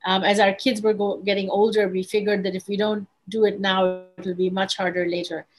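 Middle-aged woman from Bangladesh, measured. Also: medium pitch, little pitch movement, faint music behind, rough recording. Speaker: guest, tech investor. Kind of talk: online interview.

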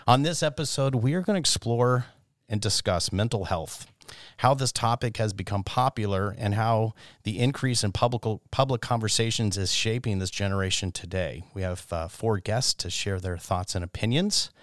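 A man's voice: fairly low pitch, normal speed, no echo, clean studio sound. A middle-aged man hosting a church podcast, introducing topics and putting questions to his guests. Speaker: podcast host and lay church elder.

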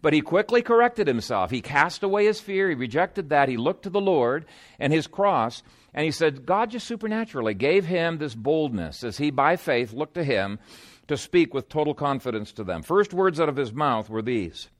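A male pastor delivers a sermon in a somewhat high voice. He speaks earnestly and with emphasis, moving between storytelling and explanation of scripture, and puts rhetorical questions to his listeners. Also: big pitch swings, normal speed. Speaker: pastor preaching.

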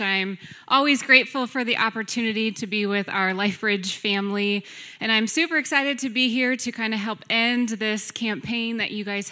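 Bright-voiced woman preaching. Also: mid-range voice. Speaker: guest preacher. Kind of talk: sermon, personal teaching to a congregation.

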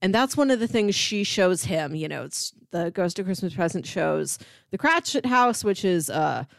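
A woman co-hosting a podcast, casually discussing a film in an animated storytelling way. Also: fairly low pitch, moderate pace, vocal fry, no echo, clean studio sound. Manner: animated storytelling, acts out characters' lines. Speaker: podcast co-host.

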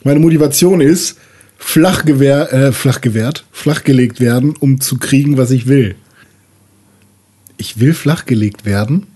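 Man to play crude ageing pub rocker in a tabletop game. Husky, blunt, improvised delivery. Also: mid-range voice, unhurried, mellow. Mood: gruff, blunt. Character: crude male bar-brawling old rocker.

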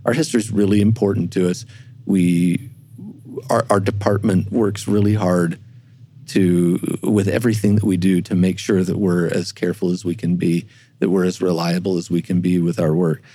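A man speaking as a historian guest in an explanatory discussion, halting and careful, often repeating words as he works out his point. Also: deep voice, level tone, mellow. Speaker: church historian, interview guest.

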